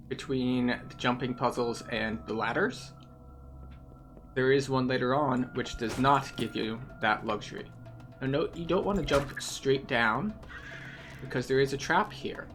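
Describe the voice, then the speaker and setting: A man's voice: mid-range voice, a bit bright. Male game tour guide, explanatory and methodical, music under the voice. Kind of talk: playthrough commentary.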